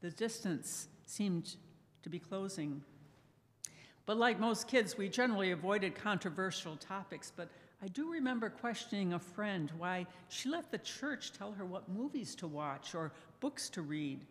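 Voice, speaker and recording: Older lady telling church folks her memories, quiet measured voice, lots of church echo. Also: mid-range voice, expressive. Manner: soft-spoken, measured, reminiscing. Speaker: lay speaker sharing a personal testimony. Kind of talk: reflective personal talk to a congregation. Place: church sanctuary. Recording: echoey church hall reverb.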